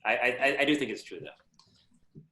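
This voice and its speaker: A man's voice: medium pitch, animated, normal speed, pushed and bright. A man bantering casually as a guest panelist, wry and wordy with a mock-earnest air. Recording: slightly echoey room.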